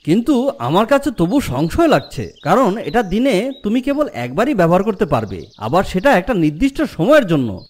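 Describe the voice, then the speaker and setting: A man's voice: sits high, a little mellow, full character voice. A man playing a cautious, loyal army commander in a fairy-tale cartoon, speaking earnestly.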